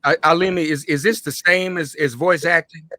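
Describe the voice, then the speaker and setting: A man's voice: fairly high pitch, fairly even intonation, normal speed, bright, forceful tone. A man hosting a conversational panel interview, putting questions to his guests. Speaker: interviewer, host.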